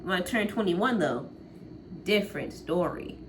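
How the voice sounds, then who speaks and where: A low-pitched young woman in her late teens rambles casually to her viewers. She laughs easily and lets her sentences trail off. There is clear background noise under her voice. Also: animated, unhurried. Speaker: college vlogger. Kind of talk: casual chat to camera.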